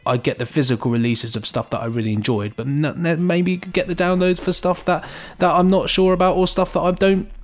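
A man's voice: a little high, steady tone, brisk, hardly any pauses. A man co-hosting a podcast, chatting casually and jokily and reading out listener emails. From England. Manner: chatty, jokey. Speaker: podcast co-host.

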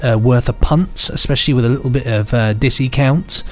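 A British man co-hosts a casual podcast. His voice is medium in pitch and his manner easygoing and agreeable. Soft music plays faintly behind him. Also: brisk. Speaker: podcast co-host. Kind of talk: casual chat.

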